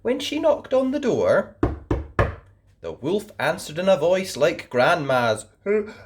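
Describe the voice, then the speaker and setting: A woman hosting a channel of read-aloud children's stories, reading gently and expressively. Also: fairly low pitch, huge pitch swings, slow pace, background noise. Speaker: storyteller host.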